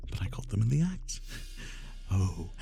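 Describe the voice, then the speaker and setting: Obsessive male kidnapper for dramatic ASMR, played by a man: tender yet menacing, low gravelly murmur sliding into whispers, confiding and anguished. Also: very animated, a little slow, mellow, slight background noise, heavily acted. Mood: hurt, seething. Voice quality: gravelly, often breathy whisper. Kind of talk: roleplay ASMR monologue, intimate and dramatic.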